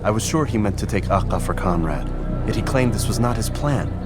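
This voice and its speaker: A man's voice: on the low side, animated, a little fast, loud music behind the voice, natural voice. A man playing a proud, defiant young assassin in a video game, speaking in a blunt, serious way. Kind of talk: dramatic video game acting.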